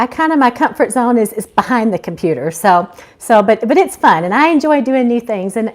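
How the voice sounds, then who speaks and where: Middle-aged woman, high voice, lively intonation, informal live storytelling, amateur read-aloud. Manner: expressive, informal, unpolished reading. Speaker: author reading own novel aloud. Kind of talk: live amateur audiobook reading with casual asides.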